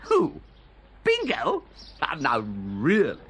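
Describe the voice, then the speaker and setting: An actor playing an amiable, dim young English gentleman with an upper-class accent, breezy and chatty, in a radio drama. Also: a little high, huge pitch swings, takes it slowly, a little hiss or hum, heavily acted. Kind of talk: radio drama acting and narration.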